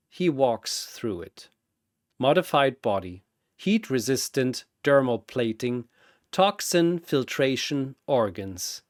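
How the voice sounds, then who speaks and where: A man's voice: mid-range voice, no echo, clean studio sound. A man narrating a scripted fantasy battle as a dramatic storyteller.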